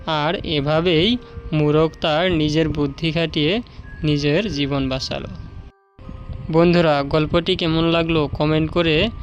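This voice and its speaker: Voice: medium pitch, steady tone, a little slow. A narrator telling a scripted children's story as a storyteller. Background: background music.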